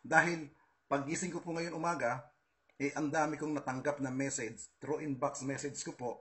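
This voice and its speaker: A man's voice: medium pitch, fairly bright tone. A man with a Filipino accent, giving an informal, combative monologue to online followers, stressing and repeating his points. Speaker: political video host.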